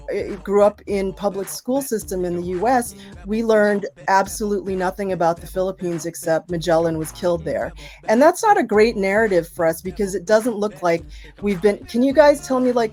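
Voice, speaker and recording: A woman's voice: mid-range voice, moderate pace, a little mellow, soft background music. A woman college professor chatting as a guest on a live show, talking freely with lots of fillers and asking the audience questions. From Boston area, USA. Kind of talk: casual live interview chat.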